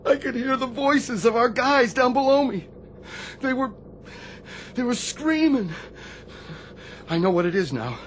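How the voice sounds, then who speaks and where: An anguished, guilt-haunted Navy sailor. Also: male voice, very high-pitched, lively intonation, fairly bright tone, full character voice. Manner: anguished, halting, repeating himself. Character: tormented, guilt-ridden older Navy sailor, haunted survivor. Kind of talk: dramatic radio theatre acting. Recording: faint background noise.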